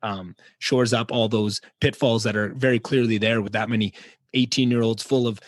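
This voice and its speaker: A man's voice: on the low side, steady tone, normal speed, no room sound, studio quality. A man hosting a podcast, asking long, meandering questions full of restarts and fillers. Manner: rambling, lots of restarts and fillers. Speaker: podcast host. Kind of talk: casual interview chat.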